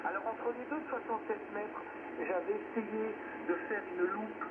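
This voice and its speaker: A man's voice: high-pitched, fairly even intonation, moderate pace. A man chatting casually as an amateur radio operator over a radio link, searching for his words as he goes. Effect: radio transmission. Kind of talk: casual radio contact chat. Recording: tinny, noisy shortwave radio reception.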